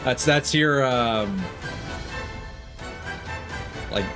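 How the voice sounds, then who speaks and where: A man giving casual gameplay commentary, thinking aloud in a halting way. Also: medium pitch. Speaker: game streamer. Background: video game music.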